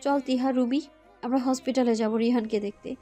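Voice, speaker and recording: High-voiced, earnest young student played by a woman. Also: fairly even intonation, moderate pace, warm tone, faint music behind, close to an everyday voice. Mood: resolute. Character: young female university student, caring and stubborn. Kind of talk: audio story character acting.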